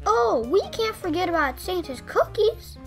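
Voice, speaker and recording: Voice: extremely high pitch, lively intonation, moderate pace, vocal fry, a bit bright, exaggerated voice. A performer playing a serious, anxious young child in a radio play, earnest in manner. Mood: eager. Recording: music behind the voice.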